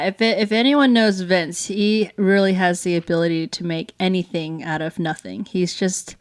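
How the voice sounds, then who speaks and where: A woman co-hosting a family vlog in a chatty, upbeat and enthusiastic way. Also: on the low side. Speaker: show host.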